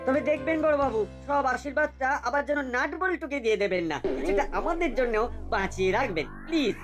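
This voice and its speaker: Casting: woman as cocky, greedy cartoon bully kid, fairly high, animated, mocking, with background music. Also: quick pace. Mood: cheeky pleading. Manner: smug, mocking. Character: smug, greedy young bully ringleader. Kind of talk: cartoon voice acting. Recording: music behind the voice.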